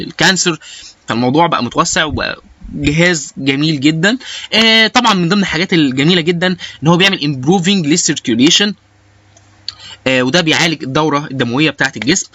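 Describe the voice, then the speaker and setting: Fast-talking Egyptian man, halting lecture delivery. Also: fairly high pitch, bright, forceful tone, no echo. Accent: Egyptian Arabic. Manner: halting, with frequent hesitation sounds and repeated starts. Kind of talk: informal educational lecture with English technical terms.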